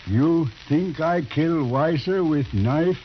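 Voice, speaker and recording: An actor playing a proud, solemn medicine man in an old radio western, speaking halting, broken English. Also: a little high, slight background noise. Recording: muffled old radio drama recording.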